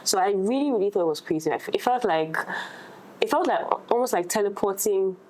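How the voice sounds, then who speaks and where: A woman talking casually as an actress in a roundtable discussion, halting and repeating words for emphasis. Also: mid-range voice, animated, darkish tone, rough recording. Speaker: actress in an audio drama.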